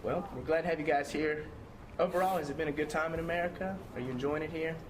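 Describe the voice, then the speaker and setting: Young man, student interviewer, quick delivery, noisy background. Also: fairly high pitch, fairly even intonation.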